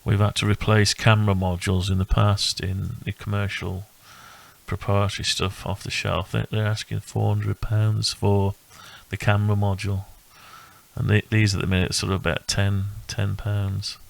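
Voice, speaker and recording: Guy with a low gravelly voice rambling thru his project, pretty monotone, thinking out loud. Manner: thinking aloud, halting and rambling. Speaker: electronics hobbyist presenting own build. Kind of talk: casual unscripted project walkthrough.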